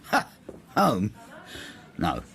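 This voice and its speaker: A man's voice: on the low side, huge pitch swings, drawn-out words, vocal fry, fairly bright tone, a little hiss or hum, full character voice. An actor playing a brooding, resentful older man in a radio drama, confiding in an intimate, reflective way. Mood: guarded. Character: brooding older Frenchman, resentful, inward, estranged husband. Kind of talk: radio drama, intimate first-person narration and dialogue.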